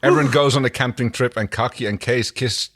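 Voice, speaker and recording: A man co-hosting a podcast in casual, unscripted chat, with a somewhat halting way of phrasing. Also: mid-range voice, a bit bright. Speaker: podcast co-host.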